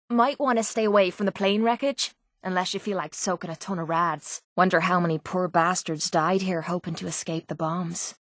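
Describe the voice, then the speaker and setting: A woman's voice: fairly high pitch, sharp tone, no echo, clean studio sound. An actress playing a tough, sardonic young female brawler in a video game, with an Irish accent and a brash, earthy way of talking. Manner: brash, sarcastic, earthy slang. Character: tough, foul-mouthed, sardonic female brawler with a violent streak. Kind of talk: video game character voice lines.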